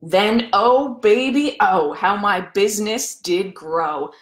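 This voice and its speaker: A woman's voice: mid-range voice, slow pace, close to an everyday voice. A woman voicing a boastful, greedy male factory owner who tells his tale in a dramatic storybook read-aloud. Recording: echoey room.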